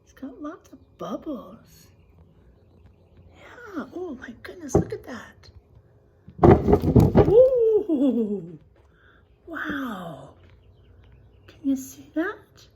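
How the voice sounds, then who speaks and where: A woman teacher reading a picture book aloud to her first-grade class, gently asking them questions along the way. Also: high-pitched, very slow, pauses often, noisy background. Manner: animated, gentle, asks the children questions.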